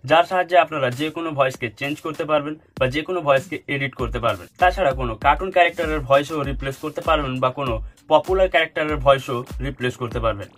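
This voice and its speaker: Man, higher-pitched voice, quick pace, instructional tutorial narration, addresses viewers as friends, music underneath. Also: a bit bright. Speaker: tech channel host. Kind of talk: tutorial narration for an online video. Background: background music.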